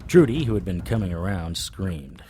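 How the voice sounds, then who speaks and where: A man's voice: low voice, expressive, moderate pace, very noisy. An actor playing a cocky, wisecracking paranormal investigator in an audio drama, sarcastic and flippant as he talks and narrates. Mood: dry storytelling. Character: wisecracking, cocky male supernatural investigator narrating his case. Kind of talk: audio drama, first-person noir-style narration and dialogue.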